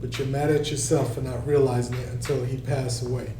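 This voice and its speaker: A man's voice: mid-range voice, little pitch movement, a little fast, natural voice. A man playing a stern but caring middle-aged father and restaurant boss, scolding and reassuring by turns, in a screenplay table read. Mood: gentle, reassuring. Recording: echoey room, distant mic, faint hum.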